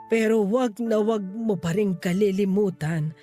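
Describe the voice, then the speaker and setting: A woman playing a frail, ailing grandmother in a radio drama, speaking gently and wearily with sorrow. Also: medium pitch, a little slow, lightly acted. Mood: gentle, pleading. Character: frail, ailing grandmother near seventy, tender and resigned. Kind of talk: Tagalog radio drama acting. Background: background music.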